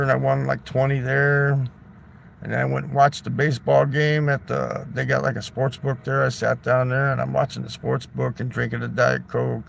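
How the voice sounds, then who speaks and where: A man's voice: medium pitch, slight background noise. A man telling a long casual story, loose and chatty, swearing freely and tossing in "right?" and "man". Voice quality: gravelly. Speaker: livestreamer.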